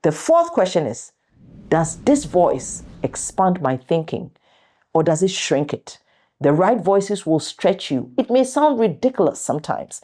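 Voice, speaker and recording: Motivational speaker, woman, middle-aged. Low, warm dark voice, deliberate delivery, emphatic pauses. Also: very animated. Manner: deliberate, emphatic, pausing between short phrases. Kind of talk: motivational talk to an audience of viewers.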